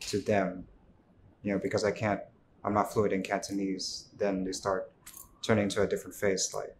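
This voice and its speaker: A man raised in Hong Kong answers in a sit-down interview. His voice is low and almost monotone, and he speaks hesitantly, pausing with "um" and restarting phrases. A faint ambient hum sits behind him. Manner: hesitant, filler-heavy.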